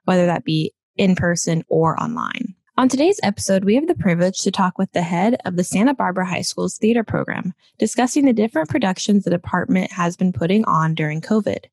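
A woman's voice: fairly low pitch, animated, brisk, few pauses, a little mellow, no room sound. A woman hosting a podcast, introducing and interviewing a guest in a presenter's style. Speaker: podcast host.

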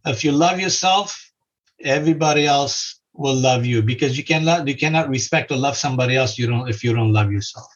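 A man's voice: mid-range voice, a little fast. A middle-aged man from Lebanon, earnestly giving life advice as a podcast guest. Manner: earnest, repeats simple advice. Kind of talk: casual advice in an interview. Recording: muffled lo-fi recording.

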